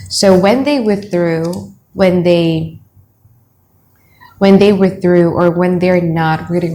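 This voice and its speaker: A woman's voice: lowish voice, a little mellow, a little hiss or hum. Chatty Indonesian-accented woman reading tarot. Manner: chatty, blunt, thinks aloud while laying out cards. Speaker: tarot reader. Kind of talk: casual tarot reading to camera. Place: home room, children heard nearby.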